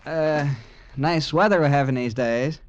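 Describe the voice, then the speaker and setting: A man's voice: fairly high pitch, measured pace, noisy background, close to an everyday voice. A man playing a hesitant, folksy country farmer. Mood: folksy plain. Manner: hesitant, folksy, full of uh's. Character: plain, good-natured male country farmer with toothache. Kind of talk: 1940s radio acting. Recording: dull old radio recording.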